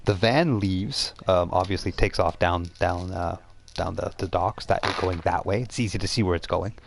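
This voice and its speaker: A man working as game master, improvising narration for a tabletop role-playing game in a halting way. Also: low voice, vocal fry, noisy background.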